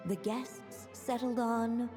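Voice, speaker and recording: A woman playing a composed, whimsical storybook narrator in a video game, with measured theatrical delivery. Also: on the high side, level tone, over music. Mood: narrating.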